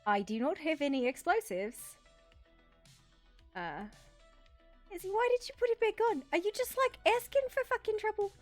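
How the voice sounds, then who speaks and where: A woman's voice: extremely high pitch, expressive, moderate pace, long pauses, faint music behind. A woman playing a tabletop role-playing game, chatting casually in character, playful and swearing freely.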